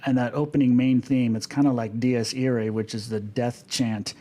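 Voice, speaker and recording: A man speaking as a guest in a casual podcast discussion, in a halting, conversational way that repeats phrases for emphasis. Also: mid-range voice, fairly even intonation, dark tone. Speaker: podcast guest, film composer. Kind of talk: casual podcast discussion about film music.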